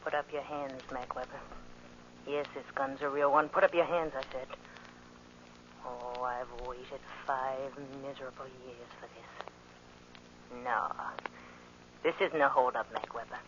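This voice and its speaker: An actor playing a bitter avenger bent on revenge, in an intense, brooding old-time radio monologue. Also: male voice, medium pitch, fairly even intonation, unhurried, long pauses, lightly acted. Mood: cold, bitter. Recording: dull, noisy old radio recording.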